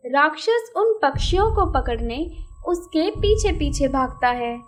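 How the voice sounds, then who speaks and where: High-pitched woman narrating a children's story. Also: lively intonation, a little slow. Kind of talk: scripted narration of a children's moral cartoon story.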